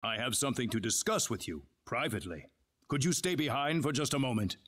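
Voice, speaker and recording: An actor playing a grave, courteous leader of an advanced civilization in a video game, speaking in formal, measured exposition. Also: male voice, mid-range voice, lively intonation, a bit bright. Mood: grave, discreet. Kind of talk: dramatic video game dialogue.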